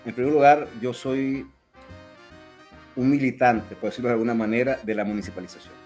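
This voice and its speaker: A man with a Venezuelan accent, an analyst being interviewed, explaining his views with emphatic repetition. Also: mid-range voice. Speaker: political analyst, interview guest.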